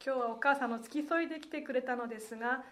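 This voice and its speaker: A woman hosting a casual radio show, chatty and animated, reacting with exclamations and laughter. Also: high voice, fast talker. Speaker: host. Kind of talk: casual interview and on-location chat for a web radio show. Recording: faint background noise, location ambience.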